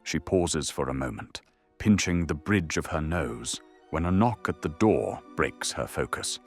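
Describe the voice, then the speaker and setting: A man's voice: rumbling low, animated, a little slow, over music. A man narrating an audio drama in a dramatic, scripted storytelling style.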